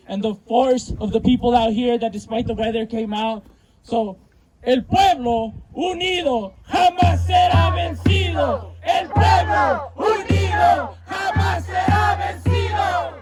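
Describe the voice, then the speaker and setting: High-pitched man rallying a protest crowd. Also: unhurried, over loud music. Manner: loud, rallying, projecting to a crowd. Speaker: rally emcee and chant leader. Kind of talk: impassioned protest rally address. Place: outdoors at a protest. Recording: outdoor rally recording with crowd noise.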